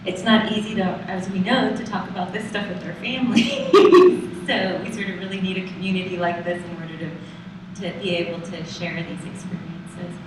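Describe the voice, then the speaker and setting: A woman's voice: on the low side, normal speed. A woman leading a casual question-and-answer session with an audience. She laughs often and talks in a chatty way. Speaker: post-show discussion leader. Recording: echoey room, far from the mic, loud noise.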